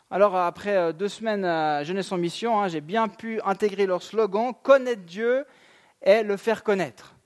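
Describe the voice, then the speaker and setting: A high-voiced man in his thirties delivers a church sermon. He keeps it conversational, mixing fillers and relaxed asides into his preaching. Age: 30s. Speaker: pastor. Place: church sanctuary.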